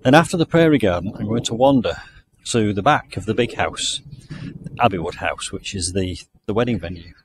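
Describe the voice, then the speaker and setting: A man's voice: fairly low pitch, animated, moderate pace, noisy background. A man from the UK giving a chatty, enthusiastic walking tour of a country garden outdoors, recorded on the camera he carries. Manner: chatty, enthusiastic, thinking aloud. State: hot and overdressed while walking. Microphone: handheld camera mic, walking.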